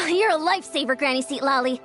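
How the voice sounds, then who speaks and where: Game role: sincere young squad leader, high-pitched, businesslike, light music underneath. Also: female voice, fast, a bit bright. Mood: earnest. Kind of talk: video game voice acting.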